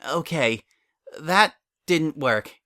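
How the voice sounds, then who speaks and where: A man's voice: fairly high pitch, lively intonation, drawn-out words, bright, forceful tone, dry recording, clean studio sound, voice pushed up, full character voice. A man voicing an anxious, bookish female unicorn in a dramatic story reading, weary and earnest. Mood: practical. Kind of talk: dramatic fan fiction reading.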